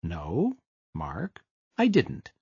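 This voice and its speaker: A man's voice: deep voice, wildly swooping pitch, drawn-out words, creaky, a little mellow, husky, no room sound, muffled recording, voice pushed down, heavily acted. A male narrator voicing a jittery, fidgety man who keeps pleading to be trusted. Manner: pleading, insistent.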